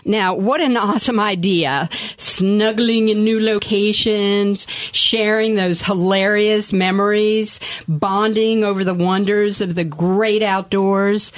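A woman with a mid-pitched voice hosts a radio show. She is chatty, folksy and enthusiastic, and the sound is close and dry. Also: fairly even intonation, slow, hardly any pauses, no echo. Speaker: radio travel show host. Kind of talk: upbeat radio hosting and conversational interviewing.

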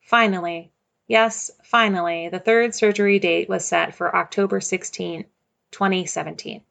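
A woman narrating a personal story in a measured, reflective way, returning again and again to a quiet refrain. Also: lowish voice, fairly even intonation, creaky.

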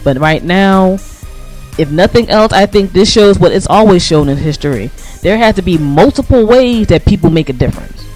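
American guy on a radio show, kinda high voice, slangy and emphatic, music playing behind him. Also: lively intonation, normal speed, a little mellow. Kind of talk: casual political commentary.

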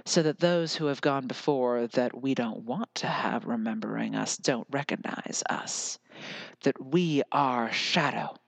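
An earnest American podcaster muses alone into the mic and slips into lyrical, repeating phrases. The voice sits at a middle pitch, and the recording is very dry, with no room sound. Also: a little slow, creaky, no echo, low-quality recording. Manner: earnest, musing, drifts into lyrical repetition. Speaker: podcast host. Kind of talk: reflective solo podcast monologue.

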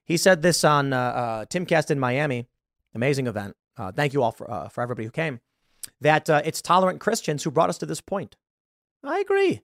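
A man in his late thirties giving political commentary in a sarcastic, mocking tone. His voice sits fairly high and jumps around a lot. The sound is dry and close, with no room echo. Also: sing-song, brisk, vocal fry. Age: 30s. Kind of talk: reacting to a video, commentary.

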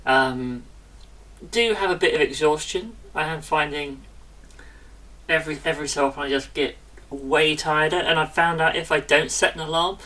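Chatty woman, bright and distant. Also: low-pitched, moderate pace. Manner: chatty, self-interrupting, lively. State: recovering from illness. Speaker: podcast co-host. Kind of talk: casual chat.

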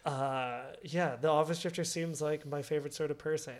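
A woman's voice: deep voice, steady tone, normal speed, a little mellow. A woman co-hosting a podcast in casual, enthusiastic chat. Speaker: podcast co-host.

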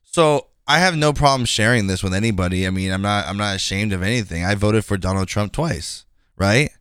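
A man's voice: on the low side, brisk, a bit bright, smooth, no room sound, studio quality. A man in his twenties hosting a casual podcast conversation, speaking frankly and rambling with many fillers and restarts. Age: 20s. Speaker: podcast host.

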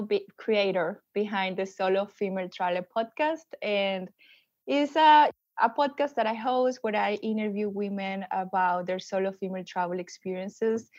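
A woman's voice: medium pitch, dry recording, lo-fi sound. A woman from Venezuela with a Venezuelan Spanish accent, a podcast guest chatting casually and laughing often. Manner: animated, laughing often, small restarts. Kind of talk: casual interview chat.

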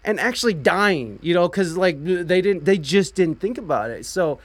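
A man from Ohio hosting a casual streamed chat show, chatty and quick to laugh. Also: high voice, animated. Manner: chatty, laughs often, restarts words.

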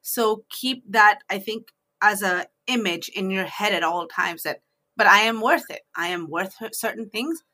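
Female discussion host, bright tone, conversational and emphatic, sharing advice informally. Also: mid-range voice, measured pace. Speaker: host in a two-person discussion.

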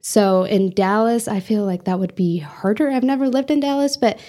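A woman's voice: medium pitch, moderate pace, dark tone. A young woman from the United States answering interview questions in an earnest, reflective way, with many fillers. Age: young adult. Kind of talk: oral history interview.

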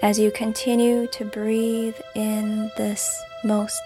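A woman guiding a meditation as scripted narration, calm and soothing, with deliberate phrasing. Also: mid-range voice. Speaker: meditation guide and podcast host. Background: music behind the voice.

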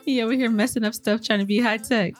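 A woman co-hosting a podcast in casual chat, bubbly and quick to laugh. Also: fairly high pitch, steady tone, faint music behind. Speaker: podcast co-host.

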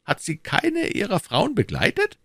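An imperious male cardinal from an audiobook. The voice is pitched strikingly high and swings widely. He questions briskly and commands keenly, in a dry recording. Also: wildly swooping pitch, vocal fry, breathy edge, dry recording, heavily acted. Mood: eager, probing. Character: imperious, keen, commanding cardinal, adult man. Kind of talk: audiobook character dialogue.

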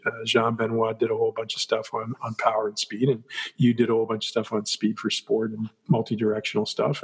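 A man's voice: mid-range voice, husky. A man hosting a podcast, reading a guest introduction and then chatting in an enthusiastic, rambling way. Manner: rambling, enthusiastic, many restarts. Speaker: podcast host. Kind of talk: casual interview.

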